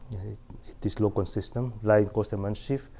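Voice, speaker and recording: Halting male math lecturer, mellow tone. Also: fairly low pitch, fairly even intonation. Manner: halting, many restarts and fillers, asks "right?". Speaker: mathematics lecturer. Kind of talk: chalkboard lecture. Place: large lecture hall at a chalkboard.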